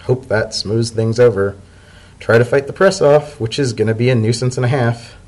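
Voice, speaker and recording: A man voicing a brisk, wry female pony press secretary, stubborn and incredulous, in a dramatic story reading. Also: mid-range voice, darkish tone, natural voice.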